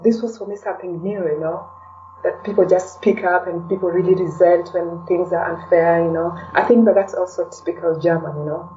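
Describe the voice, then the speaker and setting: A woman with a dark, mellow tone chats casually as a podcast guest. She sounds a little away from the microphone, in a dull lo-fi recording, and often tags her phrases with "you know". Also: lowish voice, steady tone, fairly quick, recorded from a distance, a little hiss or hum. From Kenya. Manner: chatty, peppers talk with "you know". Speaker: interview guest. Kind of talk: casual conversational interview.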